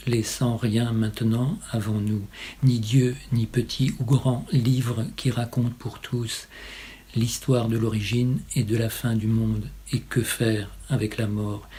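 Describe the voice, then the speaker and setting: A man reciting poetry in a solemn style, with measured, incantatory phrasing and short pauses. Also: medium pitch, dark tone. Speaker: poet reading own poem.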